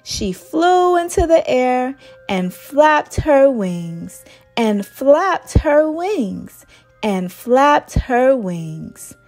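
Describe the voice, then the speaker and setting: Really slow high voiced lady doing a kids read aloud, singsong, music underneath. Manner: slow, expressive, singsong delivery. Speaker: children's storyteller and host. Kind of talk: read-aloud storytelling for young children. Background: background music.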